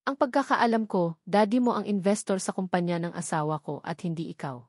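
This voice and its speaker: A woman's voice: lowish voice, brisk, darkish tone, dry recording, clean studio sound, lightly acted. A woman voicing a jealous, hot-tempered wife who talks back with indignation in a dramatic story narration. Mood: irritated, confrontational. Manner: confrontational, indignant.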